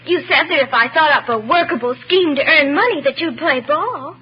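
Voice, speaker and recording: Old muffled radio comedy, super high voiced american kid, sweet n pleading, polite little schemer. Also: female voice, animated, moderate pace. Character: polite, scheming youngster of the household, pleading with Father. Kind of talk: 1940s radio comedy acting. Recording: muffled, noisy 1940s radio recording.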